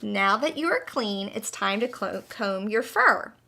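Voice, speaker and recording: A woman's voice: mid-range voice, animated, unhurried, gravelly, bright, forceful tone, full character voice. A woman voicing a kindly, patient female butterfly who teaches in an encouraging, emphatic way in a children's storybook. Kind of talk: children's storybook read-aloud.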